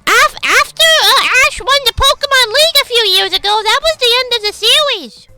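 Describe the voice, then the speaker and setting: A woman livestreamer with a high-pitched, gravelly voice, chatting casually and bluntly with viewers, excitable and sweary as she rambles. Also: brisk, soft background music. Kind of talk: casual stream chat, reading out and answering viewer comments.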